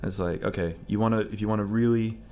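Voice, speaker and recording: A man's voice: lowish voice, steady tone, fast, darkish tone, a little hiss or hum. A man talking casually in conversation, blunt and wry as he tells stories. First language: English.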